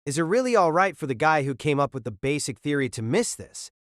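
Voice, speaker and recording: A narrator voicing a composed young man in charge who speaks in a mild, even way. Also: medium pitch, fairly quick, dry recording, studio quality.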